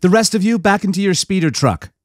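A man's voice: high-pitched, animated, quick pace, no echo, clean studio sound, voice pushed up. A man voicing a curt young female agent. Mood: curt commanding. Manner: curt, imperious. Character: sharp young female Imperial agent, commanding.

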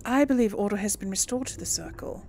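A woman playing a game and commenting casually, excitable, talking to herself and her characters, sometimes answering in character. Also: medium pitch, fast, vocal fry, slight background noise. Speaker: gamer playing and role-playing for an audience.

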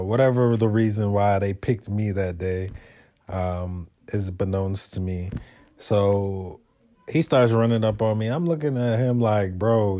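Low, mellow New man. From Brooklyn, New York. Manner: laid-back, slangy street talk. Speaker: motovlogger. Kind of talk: casual storytelling vlog.

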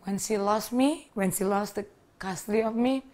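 A woman's voice: medium pitch, moderate pace, a little mellow. A woman in her thirties from Indonesia with an Indonesian accent, earnestly telling her personal story with small grammar slips, seated in a living room on a lapel mic. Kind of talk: personal testimony to camera. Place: living room with leather sofa, indoors.

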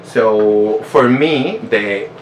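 A man's voice: fairly low pitch, extremely slow. A man in his thirties, a native Spanish speaker with a Mexican accent, chatting casually as a vlogger. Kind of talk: casual chat to camera. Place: small bedroom indoors. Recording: roomy echo, distant mic, faint noise.

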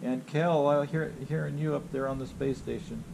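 A man's voice: fairly high pitch, steady tone, normal speed, vocal fry. Middle-aged man outdoors, very noisy recording. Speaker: amateur radio operator. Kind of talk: ham radio callouts and casual vlog chat. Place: outside a house on a residential street.

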